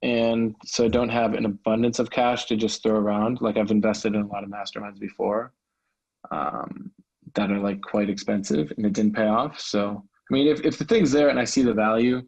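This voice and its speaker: A man's voice: on the low side, flat delivery, normal speed, vocal fry, a little mellow, dull audio. A man speaking casually and candidly, a little self-effacing, as a salesman on a recorded call. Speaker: salesman, prospective coaching client. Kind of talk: casual, candid phone conversation.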